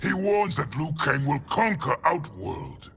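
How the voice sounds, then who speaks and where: Haughty war general, game cutscene, gravelly, clipped n forceful, big pitch swings. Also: male voice, sing-song, measured pace, a little hiss or hum, exaggerated voice. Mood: defiant, scornful. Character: proud, belligerent warrior general of a fantasy empire. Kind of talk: dramatic video game cutscene acting.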